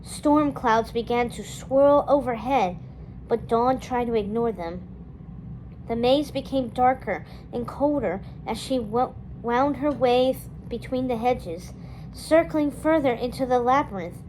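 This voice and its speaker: Female storyteller for children's fiction read-aloud, fairly high voice, some background noise. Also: unhurried, darkish tone, rough recording.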